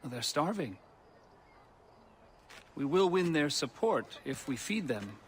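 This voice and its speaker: An actor playing an articulate, formal and earnest revolutionary gentleman in game drama dialogue. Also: fairly high pitch, big pitch swings, slow, a little hiss or hum. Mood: earnest, persuasive.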